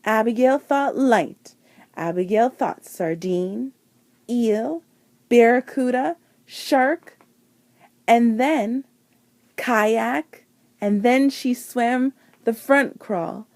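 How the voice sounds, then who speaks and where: A woman reading a children's picture book aloud with deliberate, dramatic phrasing and emphasised words. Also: on the high side, a little mellow, no echo. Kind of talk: expressive children's storybook read-aloud.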